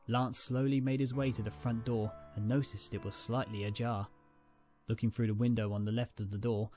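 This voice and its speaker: A man's voice: medium pitch, a little mellow. A man reading a dramatic story as a scripted narrator. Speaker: narrator, reader. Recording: music behind the voice. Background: background music.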